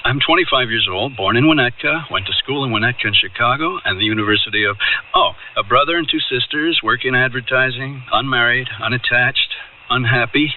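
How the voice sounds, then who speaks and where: A man's voice: mid-range voice, a little fast, recorded from a distance, narrow, tinny audio, slight background noise, close to an everyday voice. An actor playing a coaxing, persistent young suitor in his twenties in a radio drama romance. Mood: ardent, pleading. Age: 20s. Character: persistent, smooth-talking twenty-five-year-old male suitor. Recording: thin band-limited radio recording, loud music.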